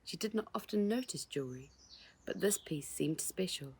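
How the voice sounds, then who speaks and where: A woman narrates a children's story in a low voice. It has a husky grain and a creaky edge as she reads the scripted prose. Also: lively intonation, moderate pace, a little mellow. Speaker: author reading own children's book.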